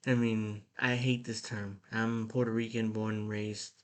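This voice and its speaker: A man's voice: fairly low pitch, little pitch movement, slow pace. A man from the United States giving blunt, irritated commentary while reading an article aloud at his computer, with frequent restarts. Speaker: political commentator.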